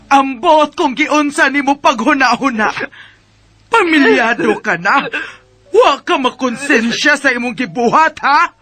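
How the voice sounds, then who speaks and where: Stern, bright-voiced mother-in-law. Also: high voice, animated, unhurried, faint music behind, exaggerated voice. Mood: scolding, indignant. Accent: Cebuano Filipino. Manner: reproachful, emphatic, with rhetorical questions. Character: stern, righteous mother-in-law scolding her grown son. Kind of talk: Bisaya radio drama acting.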